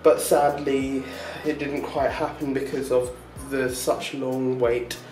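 Man, casual vlog chat, mid-pitched, rambling with fillers, roomy echo. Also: little pitch movement, takes it slowly, a little mellow, far from the mic, soft background music. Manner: rambling, chatty, with many fillers and short laughs. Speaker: vlogger.

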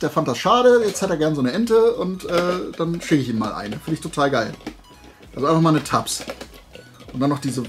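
A man chatting casually and unscripted to viewers as a video host, enthusiastic, colloquial and rambling. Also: fairly high pitch. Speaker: video host showing collectible finds.

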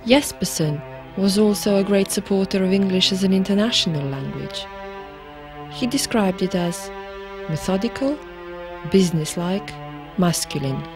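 A woman giving measured, scripted documentary narration. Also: medium pitch, music in the background.

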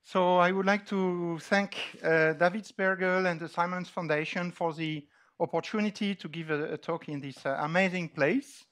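Male scientific lecturer, French accent, slightly high register, hesitant and deliberate, presenting informally. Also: steady tone, a bit bright. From France. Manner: halting, deliberate, with frequent hesitations. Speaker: lecturer opening a scientific workshop. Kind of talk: informal technical lecture with slides. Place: large dark auditorium, on stage.

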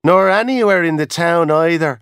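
A man's voice: on the high side, moderate pace, fairly bright tone, clear, resonant tone, no room sound, studio quality, pitched above the performer's own voice. A man doing a polite young hotel boy. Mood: weary. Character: polite young Irish hotel boy, weary yet helpful.

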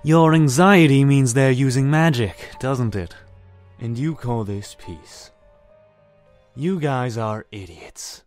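A man's voice: medium pitch, slow pace, darkish tone, natural voice. A man playing a scornful, hot-headed character in a comic dub, with a sardonic manner. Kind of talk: dramatic comic dub acting. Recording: voice over music.

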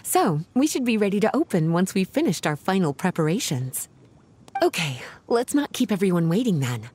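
A woman's voice: lowish voice, sing-song, moderate pace, a little mellow, a little hiss or hum. An actress playing a playful, nurturing woman mentor in a video game, coaxing and instructing. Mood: guiding. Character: teasing, nurturing adult woman alchemy mentor.

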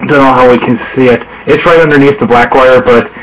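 A man narrating a casual handheld show-and-tell in a halting, rambling way, correcting himself as he goes. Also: mid-range voice, fairly even intonation, dull audio. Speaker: hobbyist video host. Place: small room at home, indoors. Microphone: handheld camera microphone.

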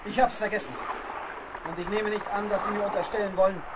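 A man's voice: sits high, steady tone, quick pace, background noise, natural voice. A man playing a proud, dutiful ship's officer in a historical radio play, with formal, clipped address. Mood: indignant.

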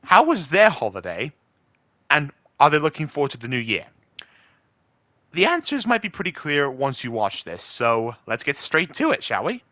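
A British man talks casually to his followers with a bright, forward voice. The sound is close and dry, and his delivery is chatty and halting, with an apologetic streak. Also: on the high side, wildly swooping pitch, no room sound. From Britain. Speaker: amateur voice impressionist.

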